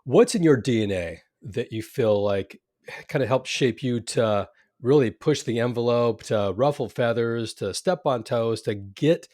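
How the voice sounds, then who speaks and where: A man's voice: medium pitch, darkish tone, dry recording, clean studio sound. A man who grew up in California, hosting a podcast interview with a read-out intro and loosely phrased friendly questions. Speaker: podcast host.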